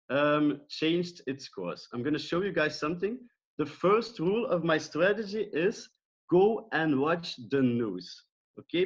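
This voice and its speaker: A man's voice: a little high, highs cut off. Upbeat Belgian man teaching trading. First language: Dutch. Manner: upbeat, repeats phrases and checks in with "okay?". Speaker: trading educator. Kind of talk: live online trading lesson.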